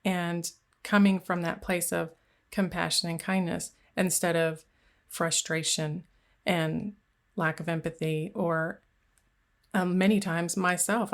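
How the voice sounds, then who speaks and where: A woman hosting a conversational interview, reflecting on personal caregiving experience with occasional restarts. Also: fairly low pitch. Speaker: podcast host.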